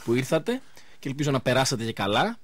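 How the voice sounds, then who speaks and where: A man hosting a live radio interview in speech, chatting informally with pauses as he goes. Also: medium pitch, sing-song. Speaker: radio show host.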